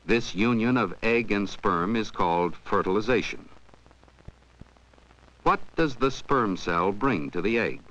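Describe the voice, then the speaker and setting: A man reading scripted educational film narration in a measured, explanatory way. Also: fairly low pitch, fairly bright tone. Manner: measured, explanatory, poses questions to the viewer. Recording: dull 1970s 16mm film soundtrack.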